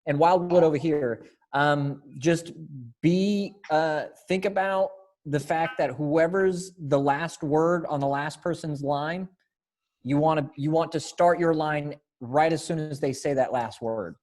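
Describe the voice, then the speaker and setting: A man's voice: fairly high pitch, unhurried, darkish tone. A young man directing a readers theater group over a video call in a casual, encouraging way. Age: young adult. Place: indoor room by window, video call.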